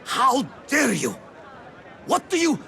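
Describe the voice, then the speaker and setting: This is a haughty slave trader who looks down on everyone around him. His voice is bright and sharp, and it swings widely in pitch as he scolds. A faint crowd murmurs behind him. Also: wildly swooping pitch, slow pace, vocal fry, exaggerated voice. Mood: indignant. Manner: indignant, snobbish. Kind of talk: video game cutscene acting.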